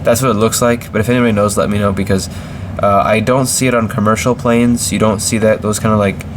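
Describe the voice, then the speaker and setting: A man's voice: on the low side, fairly even intonation, fast talker, background noise. A man with a slight cold reviewing a model airplane in a casual, hesitant way, with many ums and restarts.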